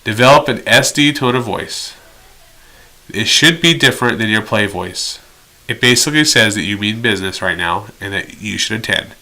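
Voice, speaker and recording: A man delivers an explanatory training lecture in a slightly low voice with a very sharp, bright edge. He is direct and instructional, working through examples. He drops in conversational asides as he teaches. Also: rough recording. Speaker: instructor in behavior therapy training.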